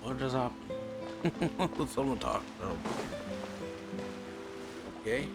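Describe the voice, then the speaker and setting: A man doing a casual let's play, reading game dialogue aloud in a halting, offhand way. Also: mid-range voice, expressive. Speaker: let's player. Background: game music.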